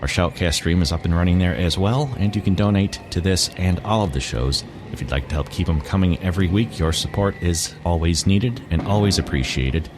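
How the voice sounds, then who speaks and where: A man's voice: low voice, a little fast, noisy background. A man hosting a podcast, reading scripted introductions and sign-offs. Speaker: podcast host. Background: music under the voice.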